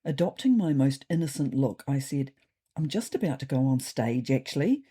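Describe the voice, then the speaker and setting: Woman as a wry orange tomcat, animated and mellow. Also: rumbling low, moderate pace, breathy edge, full character voice. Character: wry, self-important male orange cat diarist. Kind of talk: comic diary storytelling.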